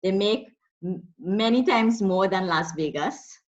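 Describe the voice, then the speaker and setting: A woman's voice: mid-range voice, a little slow, muffled recording, crowd noise. A woman from Trinidad and Tobago, a guest in a casual interview, talking in a friendly, chatty way.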